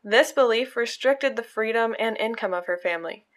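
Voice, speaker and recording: A woman's voice: on the high side, normal speed. Earnest woman reading stories aloud. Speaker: podcast host.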